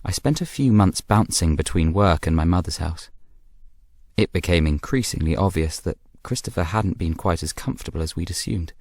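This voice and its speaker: A man's voice: sits low, animated, normal speed, darkish tone, no room sound, studio quality. An actor with a British accent playing a self-assured, scheming young man, confiding his story in a radio drama monologue with arch, knowing asides. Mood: confiding, measured.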